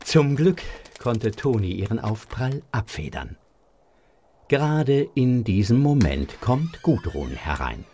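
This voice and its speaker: A man's voice: mid-range voice, big pitch swings, takes it slowly, dark tone, a little hiss or hum. A man narrating a scripted audio drama for children.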